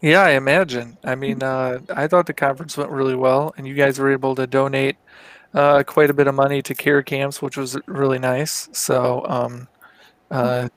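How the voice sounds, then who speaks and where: A man, a magazine editor co-hosting, talking in a monotone, self-deprecating way. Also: mid-range voice, normal speed, dry recording. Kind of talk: casual chat.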